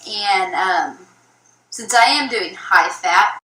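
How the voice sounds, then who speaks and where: A young woman narrating a casual food vlog in a flat, low-energy way, with many ums. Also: takes it slowly, rough recording. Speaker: YouTuber. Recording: old video played from a phone.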